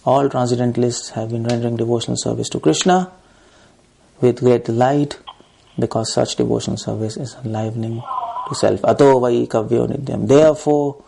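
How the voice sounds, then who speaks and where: A man's voice: mid-range voice, fairly even intonation, moderate pace, creaky, dark tone, a little hiss or hum, rough recording. A man with an Indian accent lecturing on scripture as a preacher, reciting verses and driving points home in short, repeated phrases. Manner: emphatic, repetitive phrasing in short bursts.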